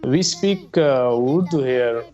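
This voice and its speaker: A young Pakistani man with a mellow, dark voice answers hesitantly, filling pauses with soft "uh"s. His audio comes through dull, lo-fi earphone call sound. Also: medium pitch, soft background music. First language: Pashto. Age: 20s. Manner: hesitant, many uh fillers, soft-spoken. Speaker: guest, drone pilot. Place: plain room indoors. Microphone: earphone mic on video call.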